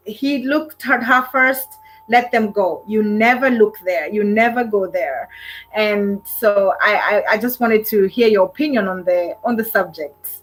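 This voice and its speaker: A woman's voice: mid-range voice, rough recording. Effusive woman hosting a casual live stream. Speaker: host of a live online relationship panel.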